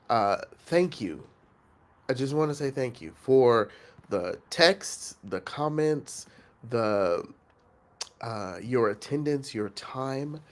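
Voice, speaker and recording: A man hosting a coaching challenge, speaking earnestly and reflectively with pauses and fillers, his voice nasal from congestion. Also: mid-range voice. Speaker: host and facilitator of an online coaching challenge. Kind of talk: motivational coaching talk.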